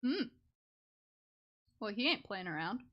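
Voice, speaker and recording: A woman streaming a video game with casual live commentary, giggly and excitable in her reactions. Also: mid-range voice, takes it slowly, lo-fi sound.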